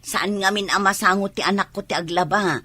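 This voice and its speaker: A woman's voice: mid-range voice, fairly even intonation, a little fast, bright, forceful tone, slightly rough, no echo. A woman playing an everyday barrio woman in a radio drama, with an Ilocano Filipino accent. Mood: matter-of-fact.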